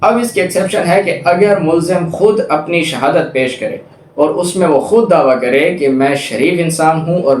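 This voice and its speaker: A man's voice: fairly high pitch, darkish tone. Echoey Pakistani man lecturing. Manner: didactic, repeats points for emphasis. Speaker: law lecturer. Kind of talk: explanatory lecture, reading statutes and paraphrasing them. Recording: echoey room with faint background noise.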